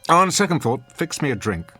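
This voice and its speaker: A haughty, misanthropic man of the theater in a radio drama, with a bright, forceful voice that swings widely in pitch. His delivery is pompous and acerbic, and faint music plays behind him. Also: very animated, moderate pace. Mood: sardonic, scornful. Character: haughty, sardonic, misanthropic male theater critic and husband.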